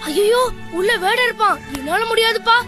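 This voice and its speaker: Extremely high boyish cartoon kitten, whiny, pleading, demanding, music behind. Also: female voice, animated, moderate pace. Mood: complaining. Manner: whining, pestering. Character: whiny, pleading young brother cat, childish and demanding. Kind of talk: children's animated story acting.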